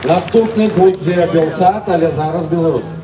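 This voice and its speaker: A man's voice: a little high, moderate pace. A man moderating questions at a rally, announcing speakers and leading chants. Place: outdoor rally. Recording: echoey, from far off, crowd noise.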